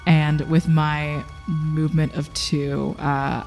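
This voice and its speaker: A man describing his character's moves as a tabletop game player, halting and dramatic in his storytelling. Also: a little high, little pitch movement, vocal fry, a little mellow. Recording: long hall reverb, background music. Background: music.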